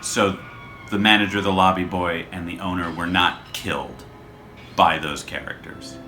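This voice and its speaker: Low voiced guy host explaining his show, casual rambly self-deprecating, music under it. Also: steady tone, moderate pace, sharp tone. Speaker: podcast creator and host.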